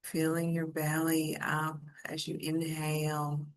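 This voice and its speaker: A woman with a low voice leads a guided meditation at an extremely unhurried pace. Her intonation stays quite flat and steady, and her delivery is gentle and soothing, softly inviting the listener along. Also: rough recording.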